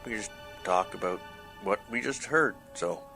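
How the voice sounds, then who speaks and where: A man with a very low voice hosts casually. His delivery is halting and hesitant, with a bright, forward tone. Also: vocal fry, faint music behind. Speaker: host, writer-director of the play. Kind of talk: casual chat introducing bloopers.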